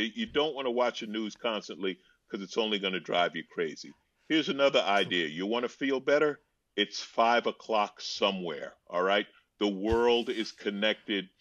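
A man with a deep voice, answering fan questions with wry, deadpan jokes and drawn-out punchlines during a video call. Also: no room sound. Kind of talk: joking video-call chat. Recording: dull, lo-fi video-call audio.

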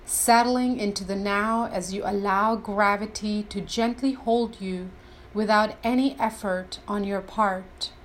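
A woman leading a scripted guided meditation in a deliberate, reverent, instructional way. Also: mid-range voice, steady tone, rough recording.

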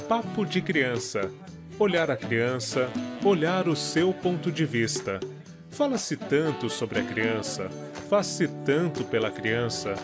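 A man speaks slowly and deliberately, his voice sitting a bit high for a man. He reads a scripted program opening. Guitar music plays clearly underneath. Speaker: program announcer. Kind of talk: scripted voice-over intro.

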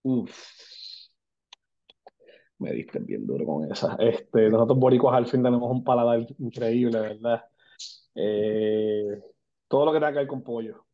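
A man's voice: medium pitch, lively intonation, measured pace, pauses often, muffled recording. A man in his forties with a Puerto Rican accent, chatting as an interview guest and mixing Spanish and English with lots of fillers. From Puerto Rico. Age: 40s. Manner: switches between Spanish and English, many fillers. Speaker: guest, basketball agent. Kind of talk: casual interview talk.

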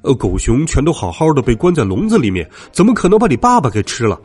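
A man voicing a gruff, blustering middle-aged zookeeper in a children's storybook narration, impatient but kindly. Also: mid-range voice, huge pitch swings, quick pace. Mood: dismissive. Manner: loud, blustering, hesitant grunts.

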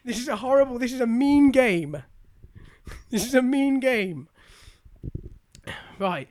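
A man's voice: very high voice, measured pace, a little mellow. A man in his early twenties chatting casually, with a teasing, playfully protesting manner. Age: early 20s. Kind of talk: casual bantering chat.

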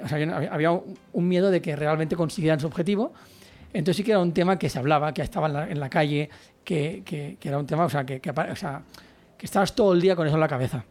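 A man's voice: on the high side, darkish tone. A young man from Catalonia with a Catalan accent, chatting as an interview guest, rambling with many restarts. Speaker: guest writer and journalist. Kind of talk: casual interview chat.

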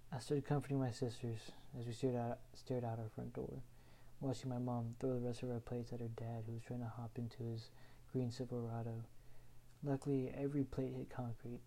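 A young man from Houston, Texas, reading his own written personal story aloud in an earnest, measured way. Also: mid-range voice, mellow, slight background noise, low-quality recording. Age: young adult.

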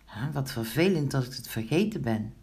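A woman voicing an earnest, excitable young schoolgirl in a children's story, speaking in exclamations. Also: extremely low pitch, moderate pace. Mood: dismayed.

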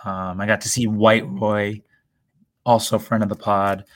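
Slightly hoarse man chatting on podcast. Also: fairly low pitch, level tone, a little slow, fairly bright tone. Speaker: podcast co-host. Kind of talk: casual sports chat. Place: hotel room.

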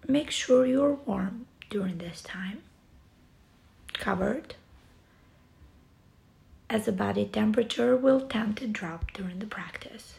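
A woman guiding a yoga nidra relaxation session in a soft, soothing, breathy way, with long pauses between short phrases. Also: mid-range voice. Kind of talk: guided relaxation meditation.

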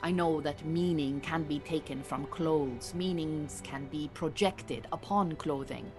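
An actress playing a proud, disillusioned Iranian refugee beekeeper in an audio drama, speaking in formal, dry, exacting phrases. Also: female voice, low-pitched, measured pace, over music. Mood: stern. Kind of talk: naturalistic audio drama acting.

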